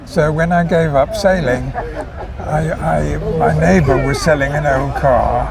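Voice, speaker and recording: A man's voice: a little high, a little slow, creaky. An older man with an English accent, explaining things in a hesitant, understated way in an outdoor interview. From England. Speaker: interview guest, classic car club member. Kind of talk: casual interview. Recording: outdoor event with crowd noise behind.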